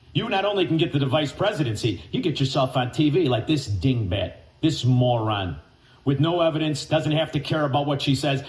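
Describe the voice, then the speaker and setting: A man hosting talk radio, ranting and mocking with name-calling and sudden shouted bursts. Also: a little high, reverberant. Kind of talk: angry talk radio rant.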